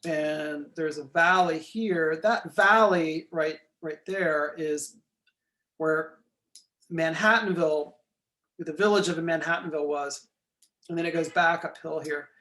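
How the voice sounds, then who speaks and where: A man giving a recorded lecture while pointing out features on a map. He is losing his voice, keeps clearing his throat and apologizes for it. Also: fairly high pitch, fairly even intonation, slow pace, sharp tone.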